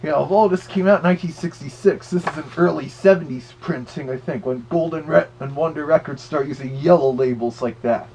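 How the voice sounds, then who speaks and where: A man's voice: on the high side, fairly quick, few pauses, darkish tone, a little hiss or hum, close to an everyday voice, rough recording. A man voicing a cartoon Big Bad Wolf who gives chatty, slightly hesitant commentary as a record reviewer. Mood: chatty, informative.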